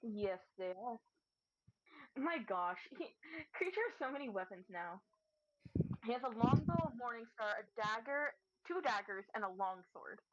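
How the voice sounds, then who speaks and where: Female tabletop player, highish voice, casual talk, dry and dull recording. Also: lively intonation, normal speed, no echo. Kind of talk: casual chat, describing her character.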